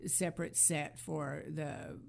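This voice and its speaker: A woman with a fairly low voice and plenty of vocal fry co-hosts a casual talk. She is agreeable and measured as she offers her commentary. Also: level tone, a little mellow. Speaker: podcast co-host. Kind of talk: casual chat, commentary on classic TV comedy.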